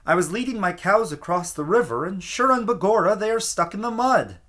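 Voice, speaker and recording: A man voicing a tiny bearded fairy man with pointed ears, pleading for help in a high, urgent voice. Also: animated, brisk, fairly bright tone. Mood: frantic pleading.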